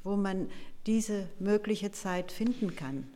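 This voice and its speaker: A woman interpreting live, delivering the speech phrase by phrase in a halting way. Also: medium pitch, mellow. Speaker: consecutive interpreter.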